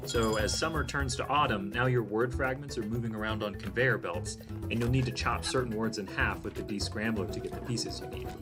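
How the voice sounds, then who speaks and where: Male developer presenting a game demo, slightly low register, scripted voice-over on a music bed. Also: fairly quick.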